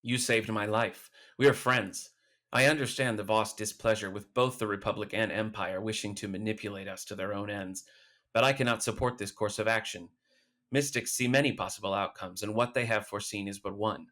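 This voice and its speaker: A man playing a grave, loyal alien mystic with formal, measured phrasing in an audio drama. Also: lowish voice, steady tone, a bit bright, close to an everyday voice. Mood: earnest, loyal.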